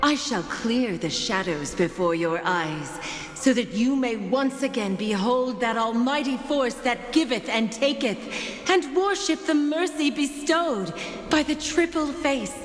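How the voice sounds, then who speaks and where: A woman's voice: a little high, expressive, a little slow. A woman playing an imperious, merciless female judge in a video game, speaking in solemn, grandiose, archaic phrases with echoing reverb. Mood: ominous, exalted. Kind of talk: scripted game voice acting, ceremonial monologue. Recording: echoey hall reverb under game music.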